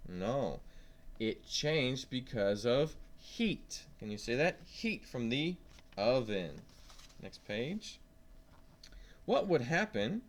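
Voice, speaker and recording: Slow, animated man teaching young children. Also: medium pitch. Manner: simple questions and prompts to the listener. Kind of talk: read-aloud science lesson for young children.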